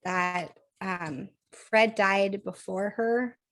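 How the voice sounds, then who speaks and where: A chatty woman co-hosting a podcast, talking things through casually with plenty of fillers and easy laughter. Her voice is mid-pitched and a touch croaky today. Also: very slow, a bit bright, low-quality recording. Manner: chatty, laughs often, thinks aloud with fillers. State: slightly croaky voice. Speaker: podcast co-host. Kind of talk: casual chat.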